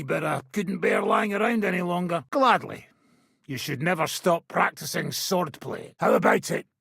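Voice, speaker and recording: Casting: a seasoned fighting man for a medieval game, gruff and bossy tutor, raspy tone with big swings in pitch, recorded dry and close. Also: a bit bright, no echo, heavily acted. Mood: gruff, casual. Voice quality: rough, gravelly. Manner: blunt, commanding, instructive. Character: gruff, impatient seasoned male mercenary swordsman teaching combat. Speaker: video game character, sword-fighting trainer. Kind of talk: medieval video game voice acting.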